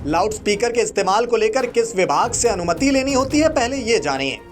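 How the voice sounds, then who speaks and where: A man with a high-pitched voice narrates a news explainer. He reads from a script in the role of a video reporter, with music playing faintly behind him. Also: animated, fairly quick.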